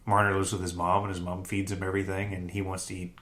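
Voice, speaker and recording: Male co-host, deep voice, a bit sick, casual sports chat. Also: level tone, quick pace, fairly bright tone. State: losing his voice, a bit sick. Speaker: podcast co-host, hockey writer.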